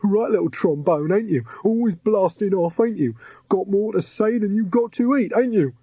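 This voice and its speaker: A man's voice: sits high, lively intonation, moderate pace, dark tone, husky, dry recording, highs cut off, voice pushed up. A man voicing a crude, fawning male henchman who sniggers at his captive. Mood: sniggering.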